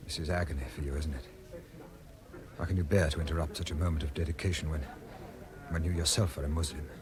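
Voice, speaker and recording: An actor playing an inspector's uneasy, doubting friend in a radio drama. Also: male voice, a bass voice, steady tone, fast talker. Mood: uneasy, questioning. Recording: busy crowd behind the voice.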